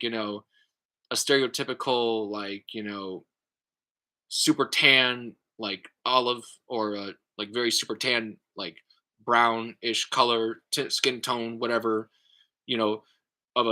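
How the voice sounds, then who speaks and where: Guy, vlogger, bright edgy tone, stop-start unscripted musing full of "like" and "uh". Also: mid-range voice, fairly even intonation. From United States. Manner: halting, lots of fillers and restarts; explanatory, thinking aloud. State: tired. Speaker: YouTube creator. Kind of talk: casual response vlog.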